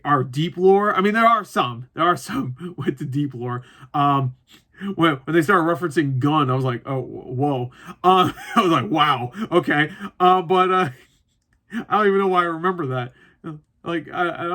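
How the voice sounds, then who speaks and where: A man with a fairly high, very bright and forward voice chats through a movie review as if to his online viewers. He talks informally and off the cuff, wandering into asides and interrupting himself, and now and then drops a mild swear. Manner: rambling, self-interrupting, animated asides. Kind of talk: casual unscripted review chat to camera.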